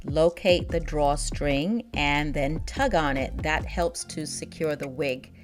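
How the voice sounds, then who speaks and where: A woman's voice: deep voice, slow. A young woman who grew up in Nigeria and the United States, chatting upbeat and laughing often as she reviews a product for her vlog. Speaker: beauty vlogger.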